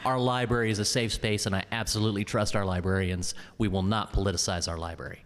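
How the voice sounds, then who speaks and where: A man speaking as a council member at a public meeting, halting and repeating words as he thinks aloud. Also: on the low side, fairly even intonation, noisy background. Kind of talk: unscripted meeting remarks. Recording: reverberant hall.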